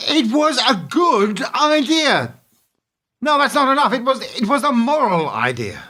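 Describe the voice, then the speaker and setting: A male actor plays an idealistic businessman in a radio drama. His voice sits high for a man, and he speaks in earnest, emphatic speeches, indignant and defiant about doing what is right. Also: bright, forceful tone, heavily acted. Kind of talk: radio play acting.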